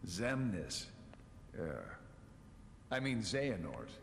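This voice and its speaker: An actor playing a sly, laid-back member of a secret order in a video game cutscene, with a teasing, casual drawl. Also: male voice, fairly low pitch, very animated, slow, exaggerated voice. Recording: reverberant game cutscene with clear background noise.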